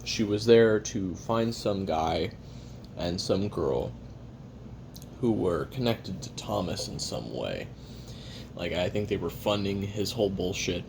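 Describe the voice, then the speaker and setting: A man playing a weary, jaded assassin who records a private confession in a halting, sardonic, casually profane way while eating. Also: sits low, lively intonation, creaky, noisy background, heavily acted. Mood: weary recollection. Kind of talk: first-person confessional audio roleplay monologue.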